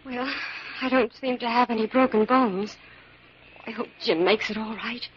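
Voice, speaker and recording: A muffled old radio recording with faint hiss carries a woman playing a plucky young sidekick. Her high voice is earnest and anxious, in vintage serial style. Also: normal speed, close to an everyday voice. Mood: plucky, concerned. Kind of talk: 1940s radio drama acting.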